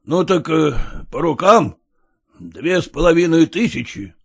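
A man's voice: a little high, very animated, measured pace, gravelly, husky, no echo, studio quality, voice pushed up, exaggerated voice. A man doing a stern, curt old general. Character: stern older male general, curt and commanding.

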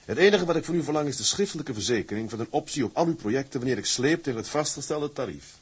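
A male actor playing a resolute, stubborn tugboat captain in a drama, with a rough, husky voice and a firm, formal manner. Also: medium pitch, animated, quick pace. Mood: determined.

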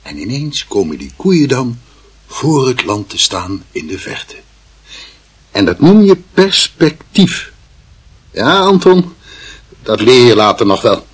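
A man playing a fussy, patronising artist uncle in a radio play, self-important and brusque as he instructs, with a husky voice. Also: mid-range voice, very animated, takes it slowly, pauses often, full character voice. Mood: instructive. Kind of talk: Dutch radio play acting.

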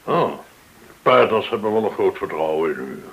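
An actor in a radio drama playing a courteous, smooth-talking gold dealer, effusively polite and theatrical in manner. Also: male voice, low-pitched, very animated, normal speed, lo-fi sound, heavily acted. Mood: courteous, probing. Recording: hissy old radio drama recording.

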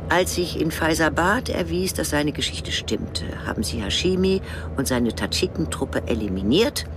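An actor playing a confident, sardonic intelligence official in a radio drama, explaining in clipped, sometimes hushed phrases. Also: male voice, on the high side, expressive, normal speed, background noise.

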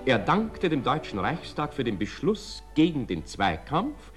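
An actor playing a formal reader of an old newspaper report in a radio drama, measured and declamatory. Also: male voice, medium pitch, very animated, music in the background. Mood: formal, solemn. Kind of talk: formal read-aloud narration in a radio drama.

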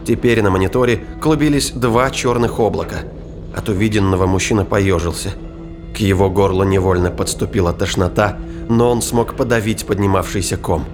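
A man's voice: fairly low pitch, normal speed. A man narrating a science fiction thriller in a dramatic, scripted storytelling style. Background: music.

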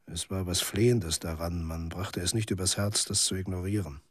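A man's voice: sits low, fairly even intonation, normal speed, slightly rough, no echo, studio quality. An actor playing a weary, cynical male journalist telling his story in a laconic literary monologue for radio drama. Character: weary, cynical male journalist in a bleak near-future city.

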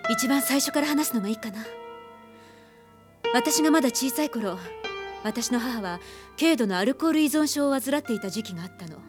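An actress playing a composed, haunted psychiatrist, speaking in a measured, grave way in a Japanese radio drama. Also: female voice, a little high, animated, over music, lightly acted. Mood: somber, reflective. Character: composed, haunted female psychiatrist telling her dark past.

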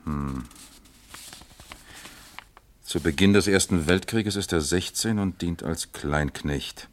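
A low-pitched police captain in a German radio crime play. He is deliberate and musing, putting his questions with dry, wry wit and probing patiently as an East German investigator. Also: a little hiss or hum. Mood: thoughtful, probing. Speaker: actor in a radio crime drama.

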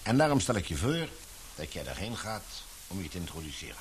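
A man acting in a Dutch radio play as a businesslike, fatherly middle-aged employer with a measured, authoritative manner. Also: sits low, very animated. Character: businesslike, fatherly older male employer, concerned for daughter. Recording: hissy radio play recording.

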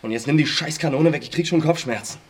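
An actor playing an irritable, rough male henchman with grumbling, slangy speech in a radio crime drama. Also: fairly high pitch, quick pace, close to an everyday voice. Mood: irritated.

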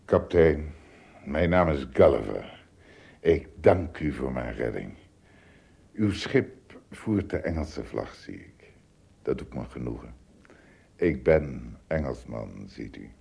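An actor playing a tiny, adventurous gentleman in a radio play, polite and theatrical, given to stammering when alarmed. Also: very deep voice, animated, slow, gravelly, pauses often, breathy edge, exaggerated voice. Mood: formal, grateful. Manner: theatrical, stammers and repeats words when frightened. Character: Gulliver, tiny adventurous Englishman, excitable yet polite.

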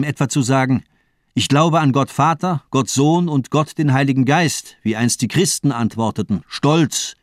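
An actor playing a cold, cynical doctor villain in a radio crime drama, speaking eloquently with mocking elegance. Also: male voice, medium pitch, dry recording, studio quality, close to an everyday voice. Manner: eloquent, playful, mocking, deliberate monologuing.

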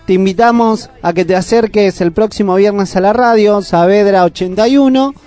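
A man's voice: high-pitched, moderate pace, a little mellow, clean tone, soft background music. An Argentine man co-hosting a community radio show in a casual presenting style. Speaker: radio co-host.

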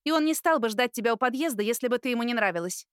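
A woman voicing a caring, cheeky older sister in an audiobook, with a playful, animated manner. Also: fairly high pitch, rapid-fire, clear, resonant tone, dry recording, studio quality, natural voice. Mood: coaxing. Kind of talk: audiobook character acting.

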